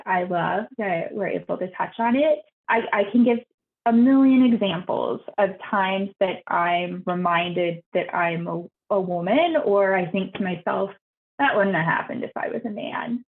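A young woman architect answering interview questions on a video call, earnest and quick to repeat her words, her voice going high when she gets excited. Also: vocal fry. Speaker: architect guest. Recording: tinny call audio.

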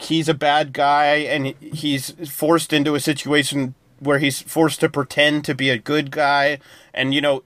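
Casual podcast co-host, a man with a slightly higher voice, dry close mic, loose rambling delivery. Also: a bit bright, no echo. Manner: rambling, loose plot summaries with many fillers. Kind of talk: casual TV review chat.

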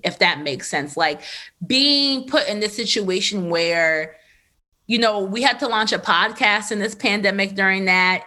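A woman in her thirties, a podcast host, telling a candid personal story in a loose, animated way with lots of fillers. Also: medium pitch. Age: 30s. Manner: animated, filler-heavy, laughs often. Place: Los Angeles area.

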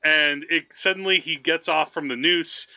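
A man's voice: a little high, moderate pace, pushed and bright, highs cut off. A man co-hosting a podcast, chatting casually about films in a rambling, enthusiastic way. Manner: rambling, enthusiastic explaining, frequent "like". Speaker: podcast co-host. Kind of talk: casual film chat.

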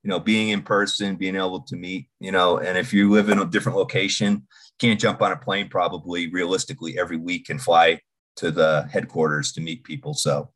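Very low-voiced man in his 40s from Boston, halting podcast guest who often restarts his sentences. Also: little pitch movement, a bit bright. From Boston, United States. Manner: halting, restarts and repeats words. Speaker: podcast guest, security executive. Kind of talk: casual interview talk.

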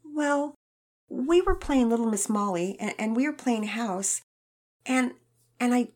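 Woman doing a halting, stammering young girl. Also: on the high side, a little mellow, voice pushed up. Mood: halting, tearful. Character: anxious young girl babysitter, remorseful.

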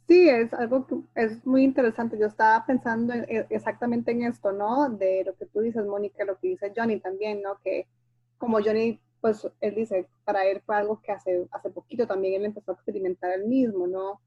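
A woman's voice: mid-range voice, fairly even intonation, moderate pace, husky, dry recording. Woman, therapist, thoughtful, dry close sound, a bit dull. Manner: warm, reflective, frequently asks ¿verdad?. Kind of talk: casual discussion.

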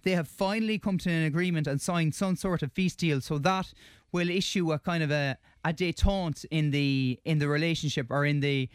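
Halting man giving news commentary. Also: on the high side, clear, resonant tone, no room sound, studio quality. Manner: halting, restarts mid-phrase.

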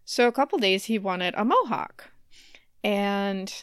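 A woman's voice: medium pitch, animated, unhurried, clear, resonant tone, no room sound, studio quality. A woman in her thirties chatting casually and telling stories with wry humor as a podcast co-host. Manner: wry, laughs often.

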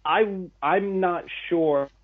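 A man's voice: high voice, very slow. A young man guest on a podcast, talking enthusiastically and with self-deprecating humour. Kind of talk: casual podcast chat. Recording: tinny phone-like call audio.

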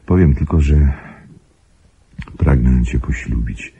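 An actor playing a brooding, controlling older gentleman in a radio play, with a gravelly, husky voice and a measured, weighty delivery. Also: extremely low pitch, heavily acted. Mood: solemn, insistent. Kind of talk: Polish radio play acting.

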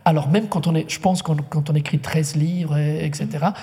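A man whose first language is Italian leads a literary conversation, pausing and restarting as he searches for words. His voice is mellow and soft-edged. The sound carries a long reverberant tail from a hall. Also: a little high, steady tone, gravelly. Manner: thoughtful, halting, many restarts. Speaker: writer and translator moderating a literary talk. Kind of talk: hesitant conversational interview.

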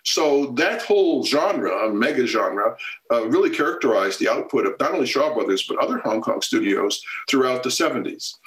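A man answering interview questions at length in a measured, lecture-like way, with frequent pauses and fillers. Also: medium pitch, animated, fairly bright tone, echoey room, poor audio. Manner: measured and expository, many fillers and restarts. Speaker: film scholar guest.

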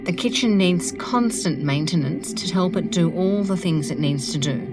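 A woman's voice: on the low side, moderate pace, rough recording. A woman narrating a scripted audio guide, speaking conversationally and giving the listener direct instructions. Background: music.

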